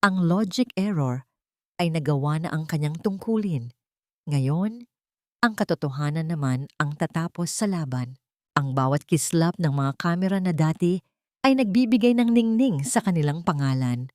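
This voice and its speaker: Low-voiced woman narrating a drama. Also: lively intonation, moderate pace, darkish tone, dry recording, studio quality. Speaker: storyteller, narrator. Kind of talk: dramatic scripted story narration.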